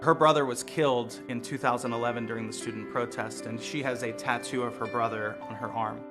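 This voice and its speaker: An American man, a lawyer and street photographer, speaking in an earnest, measured, reflective way in a documentary interview. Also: medium pitch, steady tone. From United States. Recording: music bed playing behind voice.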